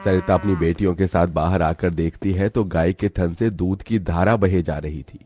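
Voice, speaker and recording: Guy narrating a childrens story, really deep warm voice, scripted read. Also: steady tone, moderate pace.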